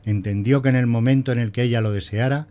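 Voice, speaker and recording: A man's voice: mid-range voice, fairly even intonation, quick pace, muffled recording, very noisy, natural voice. A man playing a prim, pedantic male schoolteacher who lectures didactically in a scripted audio drama. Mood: earnest explaining. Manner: didactic, pompous.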